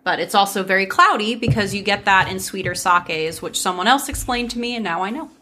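A woman's voice: medium pitch, brisk, sharp tone. A woman hosting a casual podcast, chatting breezily with friends and leading into a folk tale. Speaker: podcast host. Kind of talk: casual storytelling chat with friends.

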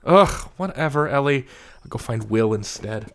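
A man's voice: mid-range voice, expressive, normal speed, fairly bright tone. A man performing scripted comic banter in an exasperated, dramatic way.

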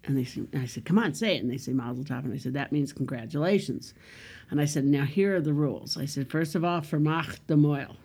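A woman's voice: sits low, brisk, darkish tone, slightly rough. A woman comedian with a whiny, nasal voice, chatting casually and telling stories from the stage. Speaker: comedian and club host. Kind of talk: casual interview chat.

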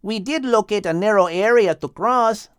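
A man's voice: sits high, normal speed, clean tone, no room sound, clean studio sound, pitched above the performer's own voice, natural voice. A man voicing a small, earnest male flying creature in a children's audiobook. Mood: earnest, concerned. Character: small male Spanish-speaking flying creature, earnest nectar-sipping scout.

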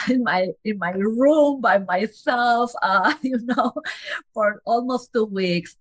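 A woman's voice: lowish voice, a bit bright, no room sound. A woman from Peru in her forties with a Peruvian Spanish accent, a physician talking as a guest in an interview, laughing lightly and restarting phrases as she goes. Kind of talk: casual interview conversation.

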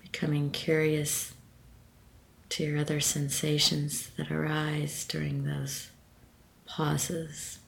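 A woman leading a guided meditation with a gentle, soothing manner and measured phrasing. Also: sits low, completely flat delivery, gravelly, low-quality recording.

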